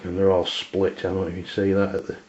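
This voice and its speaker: A man's voice: low-pitched, monotone, darkish tone, a little hiss or hum. A man with an English accent giving an unscripted, hesitant product demonstration in plain words, recorded on a dull lo-fi camera microphone. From England. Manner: hesitant, halting, plain-spoken. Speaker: amateur radio reviewer.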